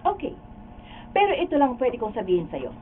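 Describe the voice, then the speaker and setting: Woman acting a high, animated, inquisitive friend. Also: fairly quick. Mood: confrontational. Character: earnest, inquisitive woman friend investigating a slander. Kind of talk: radio drama acting in Tagalog. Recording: noisy, narrow-band, distant behind-the-scenes camera audio.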